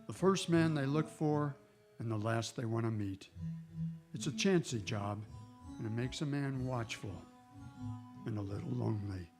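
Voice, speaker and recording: A stoic lawman of the old West, acted by a man, watchful and a little lonely. He speaks dramatically at a middling pitch over clear music, in old-time radio style. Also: normal speed, pauses often, lightly acted. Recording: music behind the voice.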